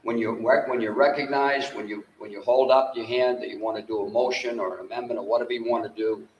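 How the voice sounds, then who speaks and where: An older man moderating a town meeting, folksy and halting, often repeating words as he lays out the rules. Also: mid-range voice, steady tone, dull audio. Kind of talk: addressing a public meeting. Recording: distant mic, faint room noise.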